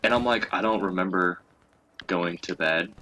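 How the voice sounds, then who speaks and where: A man's voice: sits low, normal speed, bright, forceful tone, slight background noise, poor audio. A young man telling casual stories in a profane, crudely teasing way, as a co-host chatting with a friend. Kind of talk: casual storytelling chat over a game.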